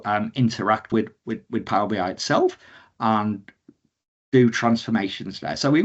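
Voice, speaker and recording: Man presenting informally over dull lo-fi audio. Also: fairly low pitch. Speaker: conference speaker giving a technical presentation. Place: indoor room, on a video call. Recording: dull, lo-fi video-call audio.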